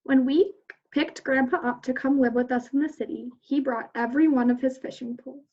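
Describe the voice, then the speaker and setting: A woman's voice: high voice, steady tone, fairly quick, darkish tone, dull audio. A woman teacher reading a picture book aloud to children in an instructional way.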